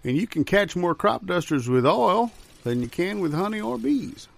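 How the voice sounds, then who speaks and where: A man playing a chirpy, folksy county fair public-address announcer in an improvised comedy sketch, chatting in a drawn-out way. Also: high voice, big pitch swings, vocal fry, heavily acted. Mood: chatty, upbeat.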